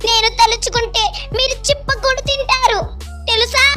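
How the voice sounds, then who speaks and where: A woman plays a mistreated young stepdaughter in a children's moral tale. The voice is extremely high, emotional and full of protest. Music is clearly heard behind it. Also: fairly even intonation, moderate pace, sharp tone. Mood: defiant, upset. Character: mistreated young stepdaughter, defiant yet pleading. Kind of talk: animated children's story character acting.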